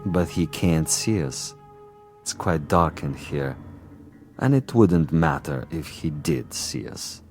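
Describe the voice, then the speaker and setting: An actor playing a smug, cunning commissar and assassin in a vintage television drama, with a pompous, savoring delivery. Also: male voice, rumbling low, lively intonation, slow pace, a little mellow, soft background music, exaggerated voice. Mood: confident teasing. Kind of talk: 1960s television drama acting.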